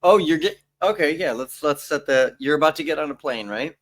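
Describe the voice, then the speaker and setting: A man's voice: a little high, expressive, fairly quick. A young man hosting a casual podcast chat, joking and playful, often stumbling and restarting his words. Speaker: podcast host.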